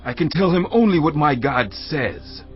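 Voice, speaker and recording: An actor playing a steadfast prophet in a dramatized audio Bible, declaiming solemnly. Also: male voice, a little high, lively intonation, moderate pace, lightly acted. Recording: dull lo-fi audio over music.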